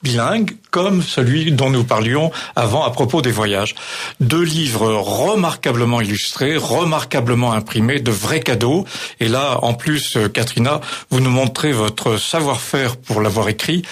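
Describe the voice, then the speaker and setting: A man's voice: mid-range voice, a little slow, breathy edge. A male radio host interviewing guests, speaking in an effusive, flowery way.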